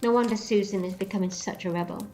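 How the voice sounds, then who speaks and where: A woman's voice: mid-range voice, quick pace, darkish tone, soft background music, lightly acted. An actress playing a haughty, scolding Time Lady mother in an audio drama, imperious and disapproving. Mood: indignant, scolding.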